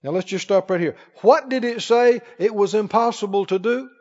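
A man's voice: high voice, normal speed, darkish tone. A man preaching in an emphatic, questioning way, teaching from the Bible to a congregation. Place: large church hall, before a congregation. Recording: muffled, echoing large hall.

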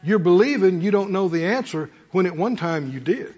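A man's voice: high voice, animated, moderate pace, darkish tone, slightly rough. A middle-aged or older man preaches in a folksy, conversational way, telling homely stories and putting rhetorical questions to his congregation. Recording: muffled hall sound with long reverb.

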